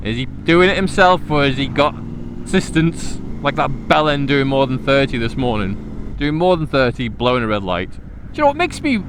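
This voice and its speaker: A man's voice: medium pitch, very animated, moderate pace. A middle-aged man with a Liverpool accent, rambling casually to himself as he rides, jokey, slangy and self-deprecating. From Liverpool, England. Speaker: motorcycle vlogger. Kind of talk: casual rambling monologue while riding. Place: outdoors on a motorbike in traffic. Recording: noisy outdoor road and traffic sound. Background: engine and traffic noise.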